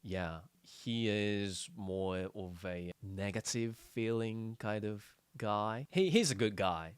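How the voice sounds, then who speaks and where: Guy with a lowish clean smooth voice, casual podcast chat, kinda halting, super dry sound. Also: no room sound.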